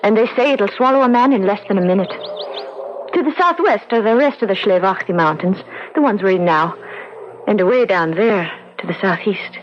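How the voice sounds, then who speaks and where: An actress playing a passionate, fatalistic young Irish sweetheart in a romantic radio drama. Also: female voice, mid-range voice, animated, moderate pace, close to an everyday voice. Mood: cautioning. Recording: dull noisy radio recording, birdsong behind.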